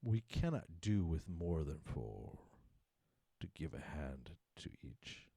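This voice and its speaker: A man's voice: very deep voice, sing-song, slow pace, creaky, dark tone, slightly rough, dry recording, studio quality, heavily acted. A man voicing a genteel, falsely kind walrus in a storybook poem, coaxing and pompous. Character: smooth-talking male walrus, genteel, falsely kind, hungry.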